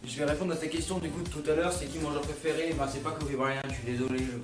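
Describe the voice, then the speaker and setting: A man's voice: a little high, steady tone, quick pace, recorded from a distance. A young man hosting a casual student radio chat, joking, swearing and repeating himself. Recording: echoey room, off-mic, music behind.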